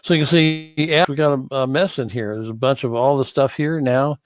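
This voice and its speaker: A man giving an informal screen-share tutorial as an instructor, thinking aloud in a halting way and correcting himself as he goes. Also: mid-range voice, steady tone, no echo. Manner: halting, hesitant delivery with self-corrections. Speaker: course instructor in church sound.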